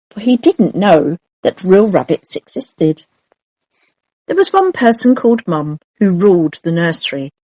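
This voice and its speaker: A woman narrating a children's storybook in an expressive, measured storytelling manner. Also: on the low side, no room sound, highs cut off. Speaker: storybook reader.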